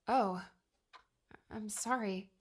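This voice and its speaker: Woman playing a timid, inquisitive young woman, mid-pitched. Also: drawn-out words, breathy edge. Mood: curious, sympathetic. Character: timid, sad, curious young woman seeking company. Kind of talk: dramatised audiobook dialogue.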